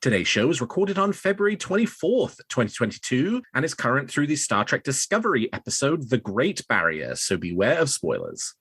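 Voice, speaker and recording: A man's voice: medium pitch, sing-song, a little fast, few pauses, fairly bright tone, husky. A man hosting a fan news podcast, presenting into a close microphone. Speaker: podcast host.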